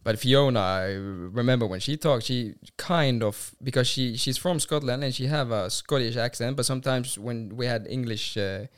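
Mid-pitched man in casual chat. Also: moderate pace, few pauses, smooth. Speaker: podcast co-host.

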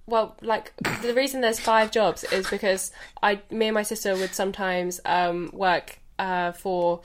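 A young woman from southern England co-hosting a casual podcast. She talks at an ordinary middle pitch, chatty and giggly, and gets easily flustered, restarting her sentences as she tells stories. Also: steady tone, unhurried, slight background noise. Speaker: podcast co-host. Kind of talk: casual chat.

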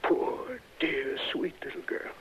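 An actor playing a fussy, sentimental, self-important older safe maker in a 1960s radio drama, halting and repeating himself in a husky voice. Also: male voice, fairly bright tone, a little hiss or hum. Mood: heartbroken, guilty. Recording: dull old radio broadcast.

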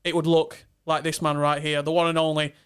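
A man with a high, light voice co-hosts a casual football podcast. He sounds upbeat and keen, talking up his guest. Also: monotone, brisk, fairly bright tone, clean tone. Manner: upbeat, introduces guest with hype. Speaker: podcast co-host.

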